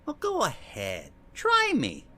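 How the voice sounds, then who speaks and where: A man plays a gruff police lieutenant in a radio play. His voice is hard-edged and bright, leaping up and down as he needles people with blustering sarcasm. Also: high-pitched, wildly swooping pitch, slow pace, full character voice. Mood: incredulous. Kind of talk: old-time radio drama acting.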